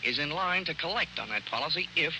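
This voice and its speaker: An actor playing a wisecracking private investigator in a 1940s American radio drama, with a sardonic, punchy, slangy delivery. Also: male voice, on the high side, animated, brisk. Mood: probing, pressing. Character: wisecracking, hard-boiled male private investigator. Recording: noisy, hissy 1940s radio recording.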